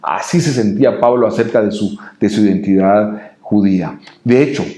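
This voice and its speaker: Mellow Guatemalan man preaching, roomy sound. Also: fairly low pitch, normal speed. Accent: Guatemalan Spanish. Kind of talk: devotional sermon addressed to listeners. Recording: roomy, echoing room sound.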